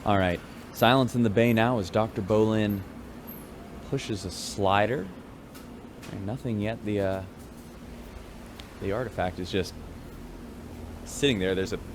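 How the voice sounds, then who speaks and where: An actor playing a folksy spaceship radio host, delivering scripted news reports in an informal, wry announcer style. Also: male voice, fairly low pitch, measured pace, long pauses, noisy background. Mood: hushed suspense. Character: folksy male radio newscaster aboard a spaceship.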